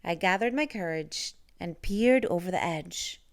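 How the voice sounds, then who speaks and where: Woman doing a kids read aloud, really animated pitch, takes her time, expressive and dramatic. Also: measured pace. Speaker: children's story reader.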